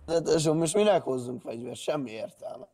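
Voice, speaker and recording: A man's voice: fairly high pitch, expressive, warm tone. A man bantering casually during an online role-play game, slangy and given to swearing.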